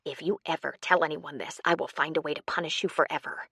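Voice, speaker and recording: A woman's voice: deep voice, fairly even intonation, fast, creaky, sharp tone, breathy edge, no room sound, clean studio sound, heavily acted. A woman voicing an embarrassed, bitter older woman who confides defensively in an audiobook story.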